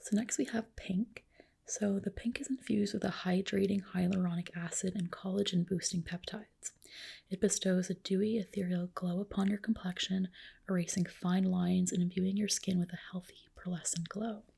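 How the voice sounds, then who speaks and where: A woman whispering gently and reassuringly in a breathy voice, playing a spa clinician in an ASMR roleplay. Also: mid-range voice, fairly even intonation, moderate pace.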